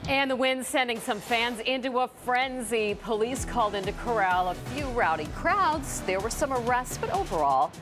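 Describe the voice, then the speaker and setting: A woman's voice: on the high side, expressive, moderate pace, hardly any pauses, over music. An American woman anchoring morning TV news, moving between scripted introductions and light chat.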